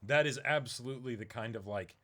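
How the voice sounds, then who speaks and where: A man's voice: medium pitch, fairly quick, sharp tone. A man taking part in a casual dramatic manga reading, adding offhand comments as he goes. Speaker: co-reader of a manga.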